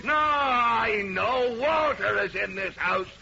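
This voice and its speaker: A man's voice: very high voice, expressive, takes it slowly, bright, forceful tone, exaggerated voice. An actor playing a crazed, murderous family man in an old-time radio horror drama, gloating and ranting melodramatically. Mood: raging madness. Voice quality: gravelly, creaky. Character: crazed murderous husband and father, gloating madman. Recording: noisy muffled old radio recording.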